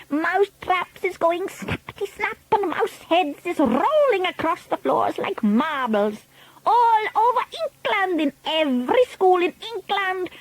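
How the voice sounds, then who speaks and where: Grand old witch villain: pompous, commanding, high and lively. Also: slight background noise, exaggerated voice, poor audio. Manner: grandiose, broken grammar, commanding. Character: scheming, pompous old female witch leader. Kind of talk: storybook reading.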